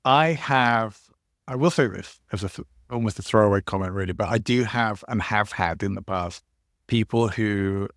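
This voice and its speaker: Husky, lowish-voiced man podcaster. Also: moderate pace, a bit bright, no room sound, studio quality. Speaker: podcast co-host. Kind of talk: casual podcast chat.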